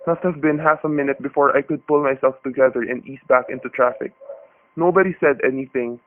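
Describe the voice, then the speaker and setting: A man's voice: medium pitch, fairly even intonation, fairly quick, lightly acted. A man playing a tense husband who narrates his ordeal in a radio thriller, colloquial and vivid, on a thin, noisy recording. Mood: tense, brooding. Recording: tinny, noisy narrow-band radio recording.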